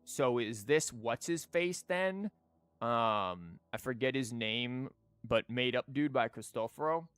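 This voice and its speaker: A man's voice: mid-range voice, expressive, slow pace, a bit bright, clean tone, no room sound. A man giving casual, joking and sweary live commentary while he plays a video game. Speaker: streamer playing a video game. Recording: music behind the voice. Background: game music.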